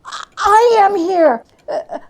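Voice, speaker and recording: A woman's voice: very high-pitched, expressive, slow pace, a little mellow, pitched above the performer's own voice, full character voice. A woman playing a repentant old miser man in a dramatic storybook reading, stammering and exclaiming with overwrought emotion. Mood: giddy, overjoyed. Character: repentant old miser man, pleading then giddy with joy.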